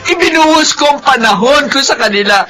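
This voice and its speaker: A woman's voice: sits high, animated, brisk, sharp tone, soft background music. An actress playing a middle-aged, anguished mother and grandmother in a tearful, melodramatic radio drama style. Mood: wailing despair. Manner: tearful, wailing. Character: anguished grandmother, despairing mother of grown children.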